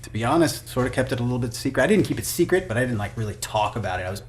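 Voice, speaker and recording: A man's voice: mid-range voice, lively intonation, racing, a little hiss or hum. A man from Kentucky with an American accent, chatting casually and circling around his questions as an interviewer. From Kentucky, United States. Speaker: interviewer in a conversation with musicians. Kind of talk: casual chat.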